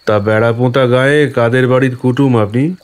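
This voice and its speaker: A man's voice: medium pitch, fairly even intonation, natural voice. An actor playing a mysterious, solemn village man in an audio ghost story, with a cold, rustic way of talking. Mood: eerie, measured. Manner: slow, cold-toned, rustic turns of phrase. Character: mysterious pale village man searching at night, eerie and solemn. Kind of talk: audio horror story acting.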